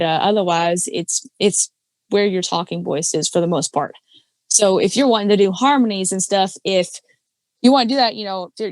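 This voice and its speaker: A woman, chatting casually as a podcast guest and explaining singing with lots of fillers and restarts. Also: dry recording. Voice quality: low alto voice. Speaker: podcast guest, karaoke singer and judge. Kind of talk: casual chat.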